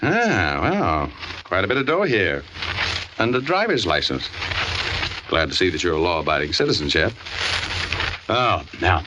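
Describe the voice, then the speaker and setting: Sardonic gumshoe, slangy and wisecracking, very animated delivery, vintage radio drama with clear hiss. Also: male voice, low voice, a little slow, long pauses. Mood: sardonic, amused. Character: wisecracking hard-boiled male private detective. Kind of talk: 1940s radio detective drama acting. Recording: noisy, muffled old radio recording with clicks.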